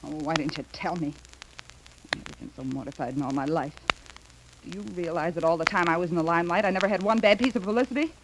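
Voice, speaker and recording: An actress playing an imperious, sharp-tongued young scheming wife in 1930s radio melodrama, demanding and indignant. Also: female voice, fairly low pitch, fast, natural voice. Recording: noisy, crackly 1930s radio recording.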